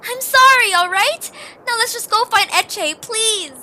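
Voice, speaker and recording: A woman's voice: extremely high pitch, unhurried, heavily acted. A woman playing a small, loyal, earnest flying creature heroine in an amateur audio drama, speaking emotionally. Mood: remorseful. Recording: distant, very echoey, under loud music.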